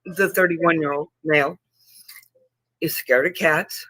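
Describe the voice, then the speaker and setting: Rambling woman in her fifties, bright voice. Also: sits low, fairly even intonation, slightly rough, no echo, low-quality recording. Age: 50s. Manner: rambling, halting, with frequent restarts and laughs. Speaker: livestream panel guest. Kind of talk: casual group chat.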